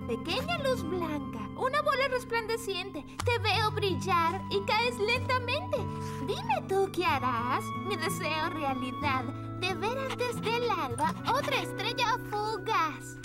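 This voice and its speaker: With loud music behind, a very high, girlish voice lilts brightly as a sweet cartoon child. She is polite and expressive, unhurried. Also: exaggerated voice. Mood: reciting dreamily. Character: sweet, upbeat little girl café owner and poet. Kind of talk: animated cartoon dub acting.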